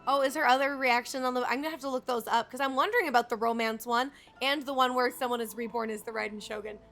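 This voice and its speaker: High-voiced woman streaming a game, chatty. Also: steady tone, fast, a bit bright. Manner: chatty, reacts to the dialogue as if in it. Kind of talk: casual live playthrough commentary. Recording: game music behind the voice. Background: video game music.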